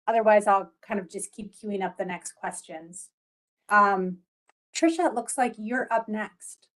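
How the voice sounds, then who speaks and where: A woman facilitating a video-call committee meeting in a brisk, procedural way. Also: mid-range voice, a bit bright.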